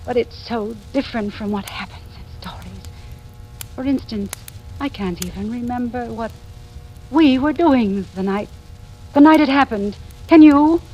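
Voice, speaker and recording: A woman's voice: fairly high pitch, muffled recording, a little hiss or hum, lightly acted. An actress playing an anxious, hesitant middle-aged mother turned amateur sleuth in a 1940s radio drama, both narrating and speaking in scenes. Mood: uneasy probing. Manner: halting, hesitant, uneasy. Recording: vintage radio recording with music.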